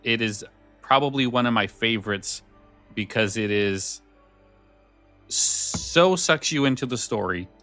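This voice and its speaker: A man's voice: mid-range voice, expressive, measured pace, pauses often, fairly bright tone, faint music behind. A man hosting a podcast in casual conversation about scary stories, often repeating words as he feels his way along. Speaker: podcast host.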